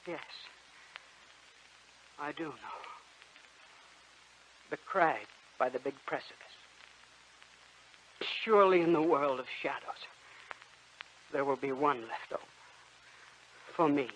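An actor playing a desperate young count without a shadow, with anguished, overwrought pleading, in old-time radio acting. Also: male voice, a little high, slow, long pauses, a bit bright. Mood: despairing. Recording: dull old radio broadcast recording, faint hiss.

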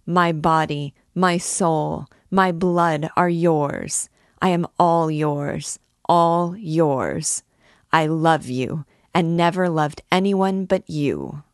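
A woman's voice: sits low, measured pace, no echo. A glib young cavalry captain, played by a woman narrator, wooing in a storybook. He speaks gallantly and effusively, full of boasts, in a dry, close sound. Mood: ardent. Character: vain, amorous young male cavalry captain, glib flatterer. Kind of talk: audiobook character dialogue.

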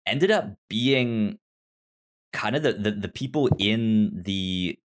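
A man co-hosting a casual TV discussion podcast, speaking haltingly with stammered, repeated syllables and words. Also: on the low side, animated. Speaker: podcast co-host.

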